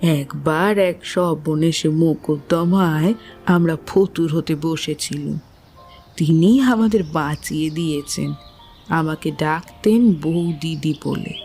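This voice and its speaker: An actress as a gentle widowed aunt in a Bengali audio drama. She speaks very slowly in a lowish register, with dignity and a soft, motherly tease. Music plays behind her. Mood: fond recollection. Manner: unhurried, motherly, softly teasing.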